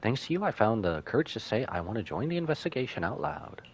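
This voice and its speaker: A man's voice: medium pitch, sing-song, fast talker, vocal fry, slight background noise. A man reading the lines of an earnest, grateful, self-doubting young woman from an anime game. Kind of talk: reading game dialogue aloud. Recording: game music behind voice.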